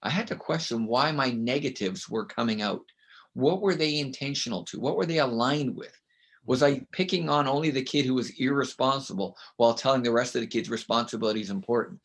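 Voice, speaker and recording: A middle-aged man from Canada with a loud voice, speaking as a guest in an interview. He is emphatic and tells stories, and he often ends his points with a quick "right?". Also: medium pitch. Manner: emphatic, storytelling, with frequent "right?" tags. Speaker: guest author and consultant. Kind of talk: conversational interview.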